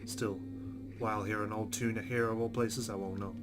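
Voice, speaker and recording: A man's voice: lowish voice, flat delivery, normal speed, background noise. A man reading a visual novel aloud as a let's play host, adding casual commentary. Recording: background guitar music. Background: game music with plucked guitar.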